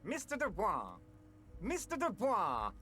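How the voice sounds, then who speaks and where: A man's voice: high-pitched, wildly swooping pitch, slow, sharp tone, faint music behind, full character voice. An actor voicing a pompous, patronizing middle-aged male union boss in a video game, theatrical and syrupy. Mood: concerned.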